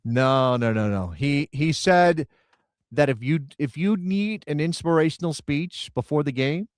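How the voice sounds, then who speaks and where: A man co-hosting a casual soccer talk show, emphatic and animated, often repeating words as he builds a point. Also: on the high side, a little slow, no echo, studio quality. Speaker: co-host and radio broadcaster.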